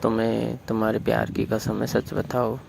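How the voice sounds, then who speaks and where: A man's voice: fairly low pitch, monotone, normal speed, creaky. A man on a phone call who coaxes and prods with questions. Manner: coaxing, prodding questions. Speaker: caller. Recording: phone call recording with loud hiss.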